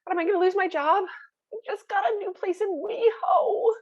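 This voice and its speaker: A woman's voice: very high voice, moderate pace. A woman playing a ditzy, panicky twenty-two-year-old girlfriend in a screenplay table read over a video call. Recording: dull, lo-fi video call audio.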